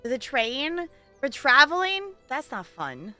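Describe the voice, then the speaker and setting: A woman's voice: high-pitched, big pitch swings, slow, bright, forceful tone, faint music behind. A woman livestreaming a game, chatting casually and informally with her viewers as she plays. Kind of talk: casual live gameplay commentary and chat.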